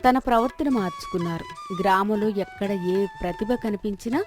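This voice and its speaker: A woman's voice: mid-range voice, expressive, a little fast, over music. A woman reading scripted narration for a moral story.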